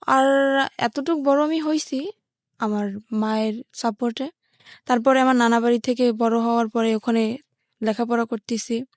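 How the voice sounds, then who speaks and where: Female guest from southern Bangladesh, higher-pitched, plain colloquial storytelling, close and dry recording. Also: fairly even intonation, normal speed, long pauses, darkish tone, dry recording. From Patuakhali, southern Bangladesh. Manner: plain, colloquial, step-by-step telling. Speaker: guest telling her life story. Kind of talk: personal storytelling.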